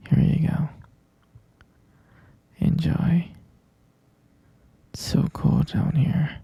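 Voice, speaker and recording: A man playing an obsessive, possessive boyfriend captor in a whispered ASMR roleplay, breathy and husky, coaxing with repeated pet names. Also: on the high side, steady tone, extremely slow, dark tone, no room sound, dull audio, exaggerated voice. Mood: doting.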